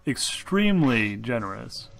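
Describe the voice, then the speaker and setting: A man acts as game master, improvising narration in a casual, halting way. His voice is mid-pitched, with music playing behind it. He swears freely as he goes. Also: big pitch swings, creaky, a bit bright. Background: background music.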